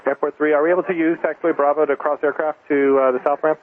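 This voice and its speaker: A man working as a tower controller, giving clearances in radio phraseology over an aviation radio. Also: on the high side, steady tone, quick pace. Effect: radio transmission. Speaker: air traffic tower controller. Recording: narrow, lightly noisy aviation radio.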